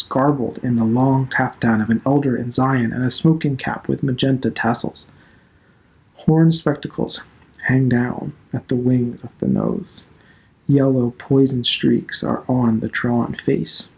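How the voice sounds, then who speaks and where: A man reading a novel aloud as dramatic narration, deliberate and expressive. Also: medium pitch, low-quality recording. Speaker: literature instructor reading aloud.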